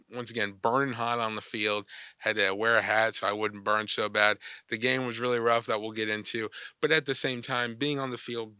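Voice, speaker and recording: A young man with a cold hosts his sports podcast, his nose a little stuffy. His voice is bright and smooth, and the sound is close and dry. He talks in a loose, chatty, energetic way. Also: medium pitch, dry recording. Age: young adult. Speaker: podcast host. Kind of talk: casual sports talk.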